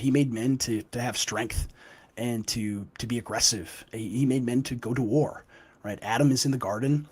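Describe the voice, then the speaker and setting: A young man with a hoarse, gruff voice from a cold, a guest author talking emphatically in an interview and often tagging points with "right?". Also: mid-range voice, a little mellow. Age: young adult. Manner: emphatic, with frequent "right?" tags. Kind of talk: conversational interview.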